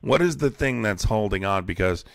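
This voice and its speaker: Hoarse gravelly man, low and exasperated. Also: expressive, normal speed, dry recording. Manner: exasperated, mocking, blunt. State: losing his voice. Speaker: streamer hosting call-in debates. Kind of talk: heated live-stream debate.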